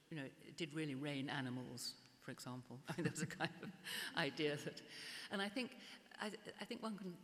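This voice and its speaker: An older woman art historian speaking at a public gallery conversation, halting and often restarting her words. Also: low-pitched, a bit bright. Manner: hesitant, restarts and repeats words. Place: gallery event space before an audience. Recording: echoey hall, faint hiss.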